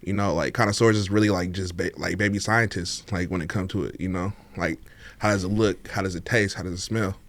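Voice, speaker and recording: A man's voice: sits low, fairly even intonation, fairly quick, a bit bright. A laid-back man, a podcast guest chatting casually and laughing often, with many fillers. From Oakland, California. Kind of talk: casual interview chat.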